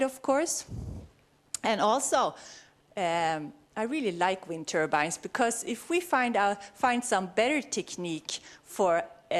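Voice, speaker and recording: A middle-aged woman with a Swedish accent speaks at a medium pitch as a researcher presenting at a conference. Her English is halting and informal, with frequent self-corrections as she searches for the right phrase. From Sweden. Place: conference venue, speaking live to an audience.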